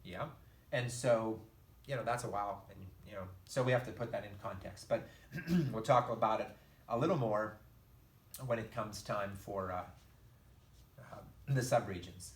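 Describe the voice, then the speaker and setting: A man's voice: fairly low pitch, normal speed, pauses often, echoey room. A man teaching a recorded geography lecture, talking in a loose, rambling way full of fillers and restarts, with his voice starting to give out. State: losing his voice.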